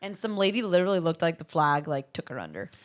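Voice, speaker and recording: Low-voiced woman in casual chat. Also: big pitch swings, quick pace. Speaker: podcast co-host.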